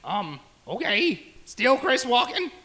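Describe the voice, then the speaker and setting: A man's voice: extremely high pitch, sing-song, slow pace, pushed and bright, heavily acted. A man playing an eager, clueless young amateur actor who rambles dimly in a comedy sketch. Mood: eager, rambling. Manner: rambling, dim-witted, hesitant. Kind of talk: audio comedy sketch acting.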